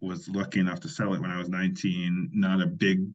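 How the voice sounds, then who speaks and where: A man's voice: low-pitched, level tone, a little fast, highs cut off. A man in his forties from Liberia, a podcast guest telling his life story in a reflective, self-deprecating way, often restarting his sentences. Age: 40s. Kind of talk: casual interview conversation.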